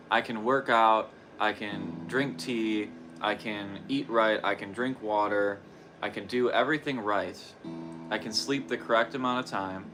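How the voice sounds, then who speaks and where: Hoarse, tired man speaking slowly. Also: fairly low pitch, noisy background. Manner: soft-spoken, halting, with long pauses and repetitions. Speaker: guitarist livestreaming practice. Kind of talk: slow reflective personal talk.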